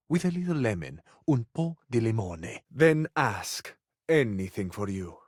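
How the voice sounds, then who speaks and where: A man's voice: medium pitch, dry recording, clean studio sound. A man voicing a suave, passionate living cabinet in a video game, with an Italian accent and a florid, flirtatious, earnest way of talking. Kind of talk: theatrical romantic video game voice acting.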